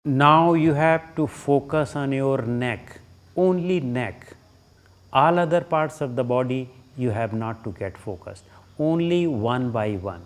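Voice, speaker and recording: A man with an Indian accent leading a guided relaxation as a yoga teacher, giving short instructions with long pauses between them. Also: mid-range voice.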